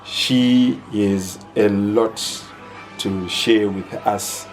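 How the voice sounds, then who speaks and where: A man hosting an interview, introducing and questioning in a formal, deliberate, halting way. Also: on the low side, fairly even intonation, faint music behind. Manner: deliberate, halting, ceremonious phrasing. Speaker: host interviewer.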